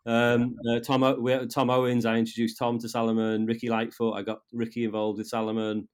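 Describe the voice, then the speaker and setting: A North Welsh man in his fifties, speaking as a guest in a relaxed interview. His voice sits at an ordinary middle pitch, and he talks in a halting way, repeating words and starting his sentences over. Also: clean tone. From North Wales. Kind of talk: casual interview conversation.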